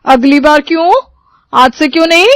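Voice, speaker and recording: A woman's voice: high voice, steady tone, normal speed, fairly bright tone, dry recording. A woman voicing a nagging, sarcastic middle-aged mother-in-law in a cartoon story, chiding with rhetorical questions. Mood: disapproving. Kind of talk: Hindi cartoon moral story voice acting.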